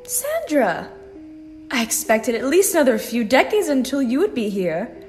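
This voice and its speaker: A woman's voice: high voice, very animated, normal speed, darkish tone, slight background noise. An actress playing a kindly, plain-spoken old grandmother in an audio drama. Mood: consoling, eager.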